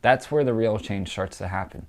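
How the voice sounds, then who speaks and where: A young man talks politics in a casual, scornful way, heavy on fillers. His allergies leave him stuffed up and nasal. His fairly low voice carries a gravelly fry. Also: moderate pace. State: congested, stuffy nose from allergies. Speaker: political commentator.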